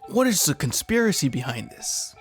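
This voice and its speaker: A man's voice: fairly high pitch, sing-song, moderate pace, soft background music. A man in casual gaming chat, reading the story aloud and breaking off to joke and swear. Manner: jokey, informal, swearing. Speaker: gaming video co-host. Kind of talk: casual chat and reading aloud while playing a visual novel.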